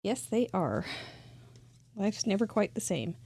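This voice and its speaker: Host, woman, middle-aged, squeaky voice with fry, chatty and laughing. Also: sing-song, measured pace, dark tone, no echo. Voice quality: squeaky high voice. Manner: chatty, laughs often, trailing restarts. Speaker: host of a live crafting show. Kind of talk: casual interview chat.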